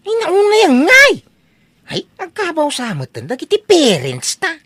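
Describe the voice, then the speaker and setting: A woman playing an excitable, exasperated grown daughter with an Ilocano accent in a comedy audio drama. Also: extremely high pitch, moderate pace, dry recording, studio quality, exaggerated voice. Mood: puzzled. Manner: excitable, exclamatory. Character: exasperated, fretful grown daughter of aging parents.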